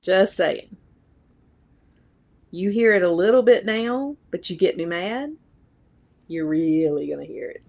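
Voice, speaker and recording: A woman's voice: fairly low pitch, lively intonation, a little slow, long pauses, a little mellow. A woman from North Carolina with a Southern accent, chatting in a plainspoken, teasing way on a live stream. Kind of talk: casual chat on a live stream.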